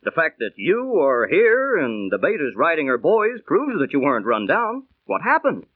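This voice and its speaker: Eager interrogator, vintage radio play, animated, slightly high voice, thin dry sound. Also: male voice, normal speed, no echo. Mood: eager, impatient. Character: eager, impatient listener pressing for the story. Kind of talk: 1930s radio acting. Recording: tinny, dry 1930s radio recording.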